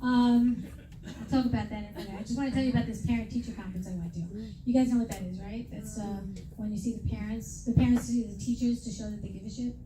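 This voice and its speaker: This is a brash middle-aged woman from New York with a high voice, delivering stand-up with self-mocking punchlines. She is recorded from a distance in an echoey club. A loud crowd laughs all around her. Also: fairly even intonation, fast talker. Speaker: stand-up comedian. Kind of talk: stand-up comedy set. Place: comedy venue with a live audience. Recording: echoey room, mic heard from afar, loud crowd laughter.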